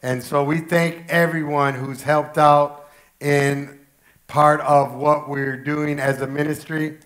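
Pastor, man in his forties, voice worn raspy, slightly high, earnest and repetitive, headset mic on a church stage. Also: level tone, slow pace, fairly bright tone. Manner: earnest, repeating himself for emphasis. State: raspy, strained voice. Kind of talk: church announcements and sermon. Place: church stage with pink-lit wall.

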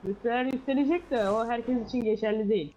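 A man's voice: very high-pitched, quick pace, a little mellow, slight background noise, rough recording. A man explaining things casually as a player in an online roleplay game, over voice chat.

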